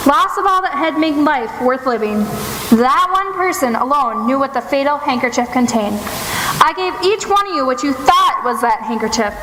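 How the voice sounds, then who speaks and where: A woman playing a shrewd, commanding female investigator in an old-time radio mystery, speaking in a formal, deliberate, theatrical way. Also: high voice, expressive, bright, forceful tone. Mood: stern, accusing. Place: live stage in a theatre. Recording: echoey live theatre stage, noisy.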